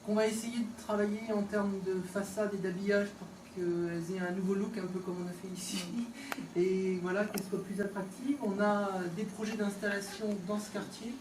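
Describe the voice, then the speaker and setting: Female local official, mid-pitched, informal unscripted speech with hesitant conversational flow; distant pickup with clear background noise. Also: level tone. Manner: conversational, halting off-the-cuff delivery. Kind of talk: informal opening speech at an exhibition. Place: indoors, tourist office exhibition hall. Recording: echoey room, distant mic, background noise.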